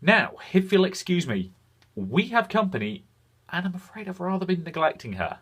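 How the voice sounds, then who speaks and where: A man's voice: high-pitched, sing-song, moderate pace, fairly bright tone, husky. A British man narrating a scripted game review in formal, wordy phrasing.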